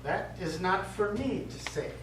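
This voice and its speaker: Actor as slow, patient priest, echoey stage. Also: male voice, high-pitched, animated. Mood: gentle counsel. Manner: measured, halting, counselling. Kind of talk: stage play acting. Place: small theatre stage, recorded from afar. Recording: echoey stage, distant mic, clear noise.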